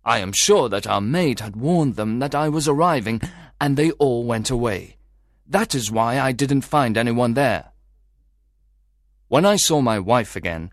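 A man's voice: medium pitch, no room sound, studio quality, lightly acted. A man playing a worried, suspicious husband who recounts his troubling story to a detective in a dramatised audiobook. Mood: troubled, recounting.

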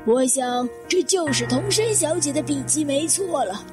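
A woman's voice: sits high, animated, normal speed, over music. A woman playing a composed, grave older lady in a detective audio drama. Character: composed older widow, Madam Honma, recounting a suicide.

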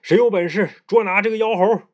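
Storyteller doing a brisk storybook emperor, commanding and lively. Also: male voice, a little high, highs cut off, pitched above the performer's own voice. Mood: stern. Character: easily annoyed male heavenly emperor.

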